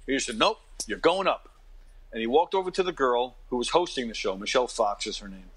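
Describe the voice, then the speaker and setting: A man of fifty with a bright, forceful voice at a middling pitch. He talks casually as an interview guest, like an animated storyteller used to performing. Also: fairly quick, slightly rough, rough recording. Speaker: interview guest, actor and comedian. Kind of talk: casual interview anecdotes and advice.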